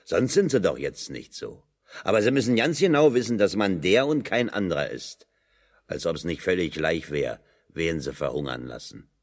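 Deep, gruff, sardonic metalworker. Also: male voice, sing-song, normal speed, full character voice. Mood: wry, needling. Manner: gruff, wry, plain-spoken. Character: stocky, blunt, sardonic metalworker. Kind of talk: German radio drama dialogue.

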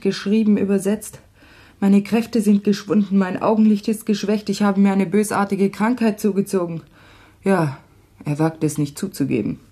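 A woman's voice: mid-range voice, mellow, husky. An actress playing a devoted, wronged woman in a German radio play, pleading and bitterly reproachful in a dramatic manner.

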